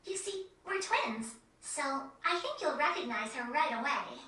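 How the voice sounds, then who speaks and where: A woman playing a composed, courteous young girl who guards a forest, speaking in measured, articulate video game dialogue. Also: sits high, lively intonation, sharp tone, exaggerated voice. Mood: polite, calm. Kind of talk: video game cutscene acting. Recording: echoey, distant game audio.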